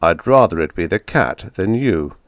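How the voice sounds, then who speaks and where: A calm, blunt visitor from the future, read by a man in close to his own voice. The delivery is flat, firm and matter-of-fact. Dry, close sound. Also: deep voice, normal speed, no room sound.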